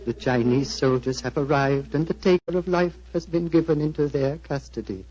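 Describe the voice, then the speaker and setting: An actor playing a gentle, wistful old monastery abbot, speaking in a solemn, fatherly, flowery manner of 1940s radio drama. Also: on the high side, normal speed, husky. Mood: serene. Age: older. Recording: dull, muffled 1940s radio recording.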